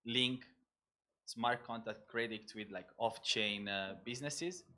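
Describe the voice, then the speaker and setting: A man in the audience putting a question to a panel, halting and framing it with "like" and "so my question is". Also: mid-range voice, bright, forceful tone, slight background noise. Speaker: audience member asking a question.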